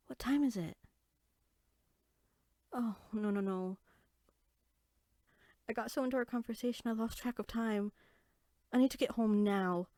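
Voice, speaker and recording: A woman playing a small, bookish, friendly fairy in a roleplay audio drama, speaking in a chatty, expressive, conversational way. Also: fairly high pitch, no room sound, studio quality, close to an everyday voice. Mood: flustered.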